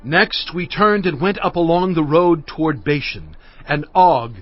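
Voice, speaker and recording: A fairly high-pitched, solemn male leader tells his people's history in measured phrases. It is audio Bible drama, slightly dull in sound, with music underneath. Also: expressive. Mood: solemn recounting.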